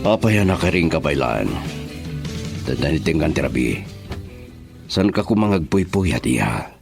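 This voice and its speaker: A weary middle-aged Filipino father, worried about providing for his household. His voice is very low and gravelly, with husky edges. The delivery swings widely in pitch, in the dramatic manner of a radio serial. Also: sing-song, a little slow, over loud music, exaggerated voice. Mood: angry, reproachful.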